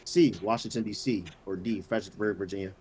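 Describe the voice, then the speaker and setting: A man hosting a casual quiz with friends, reading out questions and answer options at an unhurried pace. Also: fairly low pitch, steady tone, a little mellow, a little hiss or hum. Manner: reads answer options slowly, teases players. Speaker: quiz host.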